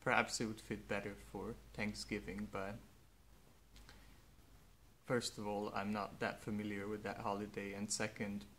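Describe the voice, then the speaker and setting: A young man, a native Hungarian speaker, speaking slowly and earnestly in a reflective personal monologue with faint background noise. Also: on the low side, level tone, fairly bright tone. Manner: slow, thoughtful, earnest. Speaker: vlogger.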